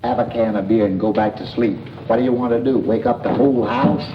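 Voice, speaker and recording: An actor playing a tipsy, boastful middle-aged boarder with folksy, ungrammatical, stammering talk in a 1940s radio drama. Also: male voice, medium pitch, fairly quick, natural voice. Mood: grumpy, stingy. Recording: dull, noisy old 1940s radio recording.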